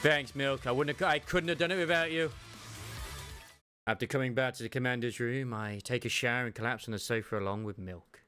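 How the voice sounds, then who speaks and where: Young guy reading out a game story, bright forceful tone, gushy little asides, soft music behind. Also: mid-range voice, steady tone, brisk. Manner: enthusiastic, chatty asides.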